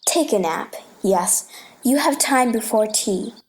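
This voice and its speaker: A woman's voice: fairly high pitch, expressive, slow pace, slight background noise. Woman reading as a patient, playful scholarly uncle. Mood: fond, musing. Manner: patient, explanatory, teasing. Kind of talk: storybook play reading.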